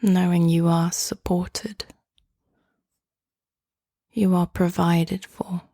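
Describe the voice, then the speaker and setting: A woman hosting and leading a guided meditation in a soft, hushed, often half-whispered voice, with gentle and inviting phrasing. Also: lowish voice, little pitch movement, very slow, dry recording, clean studio sound.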